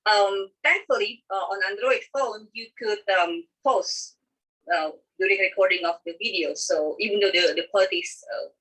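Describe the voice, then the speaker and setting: A woman from Indonesia presenting as a teacher in an informal, halting way, often repeating herself. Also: medium pitch, fairly bright tone, slightly rough. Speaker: teacher presenting a class schedule. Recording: distant microphone on online call.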